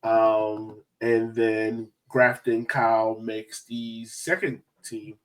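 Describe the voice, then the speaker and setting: A man's voice: fairly low pitch, flat delivery, extremely slow. Casual man hosting a sports podcast. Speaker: podcast host.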